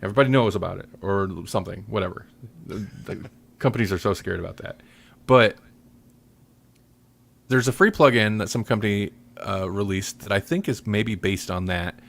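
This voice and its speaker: Male podcast host, low and gravelly, loose and rambling, informal conversation. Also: normal speed, fairly bright tone. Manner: rambling, loosely searching for words. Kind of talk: casual chat.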